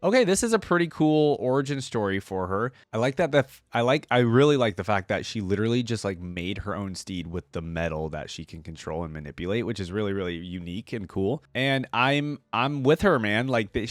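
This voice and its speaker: Low-voiced man reading and reacting, dry recording. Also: brisk, few pauses, dry recording. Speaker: reaction video host. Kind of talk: reading lore aloud with casual reactions.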